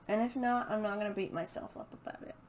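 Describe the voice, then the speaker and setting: A woman chatting casually and unscripted as a book vlogger, hesitant and halting as she thinks aloud. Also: mid-range voice, fairly even intonation, darkish tone, slight background noise. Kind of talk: casual unscripted chat to camera.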